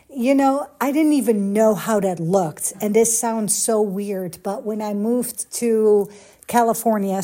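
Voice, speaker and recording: A woman's voice: mid-range voice, warm tone. A middle-aged Dutch woman with a Dutch accent hosting a solo podcast, chatting casually and encouraging her listeners directly. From Netherlands. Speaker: podcast host.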